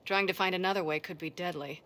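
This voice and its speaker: An actress playing a brisk, self-assured expert in a fantasy video game, with a dry, matter-of-fact delivery. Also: female voice, fairly low pitch, steady tone, a bit bright, slight background noise, lightly acted.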